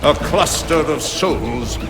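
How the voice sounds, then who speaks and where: An actor playing an ancient, sardonic cursed plant spirit in a video game, pompous and condescending. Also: male voice, mid-range voice, lively intonation, slow pace, music in the background. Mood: grave, knowing. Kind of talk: video game character acting.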